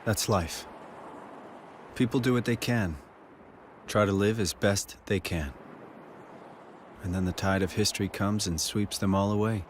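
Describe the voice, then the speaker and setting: An actor playing a steady, world-weary, kind statesman in a video game, plain-spoken, reflective and reassuring. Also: fairly low pitch, a little slow, long pauses, a little hiss or hum, close to an everyday voice. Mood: somber, consoling.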